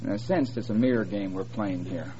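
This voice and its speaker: A man's voice: lowish voice, a little fast, dark tone. A man, a pastor, speaking earnestly and prayerfully to his church. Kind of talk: church address. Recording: dull lo-fi recording with faint hum.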